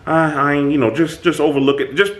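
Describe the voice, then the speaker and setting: A man hosting his own channel, talking through a personal conflict in an emphatic, animated way. His voice is booming, and he often restarts his sentences. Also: fairly quick. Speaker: channel host. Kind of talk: reflective self-talk monologue.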